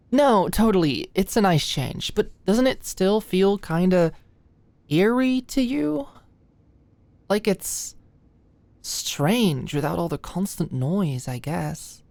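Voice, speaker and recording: A woman's voice: on the low side, darkish tone, dry recording, full character voice. A young woman acting an anxious, flustered medical student and study buddy in an ASMR roleplay, rambling and stammering apologetically. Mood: sheepish, uneasy.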